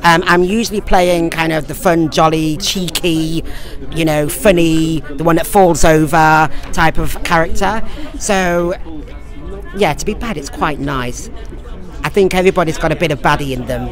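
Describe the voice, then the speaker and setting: A man's voice: fairly high pitch, moderate pace, faint music behind. An English man from Lincoln chatting effusively and jovially as a pantomime actor being interviewed. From Lincoln, England. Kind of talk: casual interview chat. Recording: loud crowd chatter behind.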